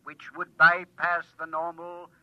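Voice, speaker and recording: An actor playing a precise, unemotional talking computer in a 1950s science fiction radio play, reciting in stiff, formal phrases. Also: male voice, a little high, monotone, unhurried, pushed and bright, no room sound. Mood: flatly informative. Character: male talking home computer, precise, unemotional know-it-all. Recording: muffled vintage 1950s radio recording.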